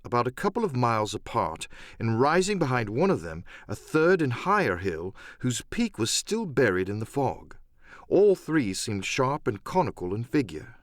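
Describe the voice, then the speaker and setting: Expressive male audiobook narrator, lively intonation. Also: mid-range voice, no room sound, clean studio sound. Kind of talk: scripted dramatic first-person narration.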